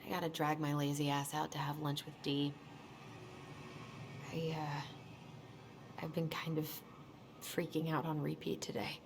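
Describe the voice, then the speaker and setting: A woman's voice: sits low, level tone, a little slow, pauses often, background noise. A woman playing an anxious, weary young American woman in a video game, speaking in a hesitant, subdued way. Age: young adult. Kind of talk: naturalistic video game dialogue.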